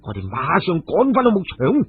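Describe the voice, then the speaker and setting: A man's voice: sits high, huge pitch swings, normal speed, breathy edge, no room sound, full character voice. A man voicing a grief-stricken, resolute hero in a Cantonese storybook tale, sombre and subdued. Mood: grim resolve. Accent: Hong Kong Cantonese. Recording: tinny radio-like sound with music behind.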